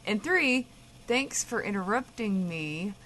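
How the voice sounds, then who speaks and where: Blunt, lively woman as a radio guest. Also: medium pitch, slow, a little hiss or hum. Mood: bossy. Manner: blunt, teasing, profane. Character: blunt, curious, bossy female guest co-host. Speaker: guest co-host. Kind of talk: comedic audio drama, bantering dialogue.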